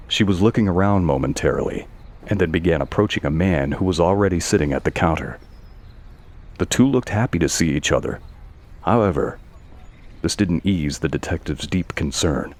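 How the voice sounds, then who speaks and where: A man's voice: extremely low pitch, a little mellow. A man narrating a scripted audio story.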